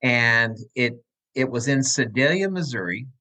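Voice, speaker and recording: A man of average pitch hosts a relaxed chat podcast and tells rambling, joking stories from a room at home. He talks over a video call. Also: a little slow. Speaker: podcast host. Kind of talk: casual chat.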